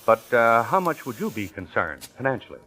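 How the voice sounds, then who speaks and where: Florid, smooth-talking con man, theatrical swings. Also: medium pitch, big pitch swings, brisk, bright, forceful tone. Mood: smooth, insinuating. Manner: pompous, florid, chuckling wordy speech. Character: genial, smooth-talking male confidence man posing as professor. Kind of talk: 1940s radio acting, first-person narration and dialogue. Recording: vintage radio drama recording.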